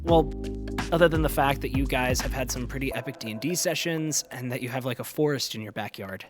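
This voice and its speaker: A man playing a nervous, bright seventeen-year-old boy in an audio drama, speaking hesitantly and politely. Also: medium pitch, fairly quick, lightly acted. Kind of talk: naturalistic audio drama acting. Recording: music behind the voice.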